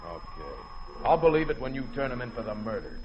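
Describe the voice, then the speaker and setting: Greedy sailor villain, tough, slangy, lively intonation, 1940s radio drama, muffled recording. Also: male voice, medium pitch, fairly quick, a crowd in the background. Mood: greedy, suspicious. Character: cynical, greedy male sailor, a killer.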